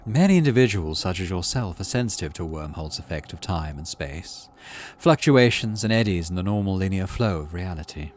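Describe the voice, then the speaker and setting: A man voicing a calm, teasing, cryptic alien forest guide in a visual novel game, with a wry, deliberate manner. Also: sits low, slight background noise. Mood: musing.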